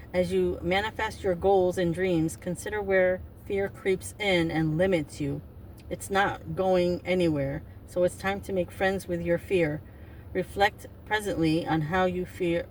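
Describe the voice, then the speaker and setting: Woman, 50s, card reader. Lowish voice, chatty and rambling, a little far from the mic with faint hiss. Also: darkish tone. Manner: rambling, self-interrupting, chatty. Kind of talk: casual spiritual card reading. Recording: distant mic with faint noise.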